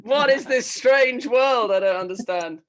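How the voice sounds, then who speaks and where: Laid-back man with a high voice. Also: a little slow, a bit bright. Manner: laid-back, hesitant, laughing. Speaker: judge. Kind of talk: casual chat. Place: home, on a video call.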